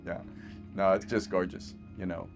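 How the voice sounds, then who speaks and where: A man's voice: low voice, level tone, normal speed, creaky, faint music behind. A man, a physician, chatting casually over a video call, telling stories with lots of fillers and laughs. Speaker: physician guest. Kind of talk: casual remote podcast conversation.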